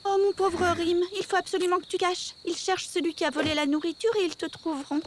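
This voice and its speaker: A woman voicing a sweet, anxious teenage girl in a French animated series dub, pleading earnestly. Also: very high voice, fast talker, noisy background. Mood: worried, secretive. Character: sweet anxious teenage girl hiding her unicorn friend.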